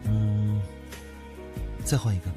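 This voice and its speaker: A man acting a protective, tenderly possessive boyfriend in an audio drama, murmuring softly and intimately. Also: on the low side, flat delivery, slow pace, over music. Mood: teasing. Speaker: voice actor. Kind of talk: Chinese boys' love audio drama acting.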